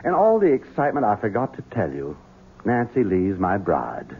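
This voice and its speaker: A smug, scheming son. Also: male voice, on the low side, wildly swooping pitch, moderate pace, heavily acted. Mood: mocking. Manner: smooth, mocking, sly. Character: scheming sinister grown son, cruel, smug. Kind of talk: 1970s radio drama acting. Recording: phone-like old radio broadcast, faint hiss.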